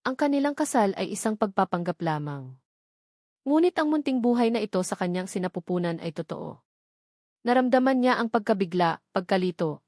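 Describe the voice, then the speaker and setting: A woman narrates a scripted audio drama. Her voice sits at a medium pitch. The sound is very dry, with no room on it. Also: darkish tone, dry recording.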